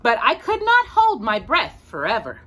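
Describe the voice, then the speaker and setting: A middle-aged woman reading a picture book aloud to a child, expressive and deliberate, stressing key words. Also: a little high. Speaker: host of a children's storytime. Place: small indoor room with bookshelves.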